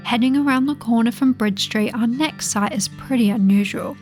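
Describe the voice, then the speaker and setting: Higher-voiced British woman presenting history. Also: moderate pace, darkish tone. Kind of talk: scripted history tour narration. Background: light guitar music.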